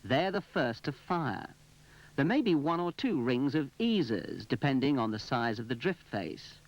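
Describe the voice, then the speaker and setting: A man's voice: medium pitch, sing-song, a little slow. Instructive male narrator, muffled old film. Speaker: narrator and presenter. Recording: muffled, noisy 1980s film soundtrack.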